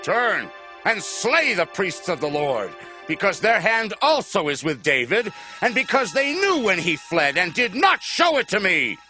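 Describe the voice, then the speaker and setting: A man's voice: high voice, big pitch swings, unhurried, sharp tone, soft background music, exaggerated voice. An actor playing a paranoid, wrathful king in a dramatized audio Bible, declaiming accusations. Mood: wrathful commanding.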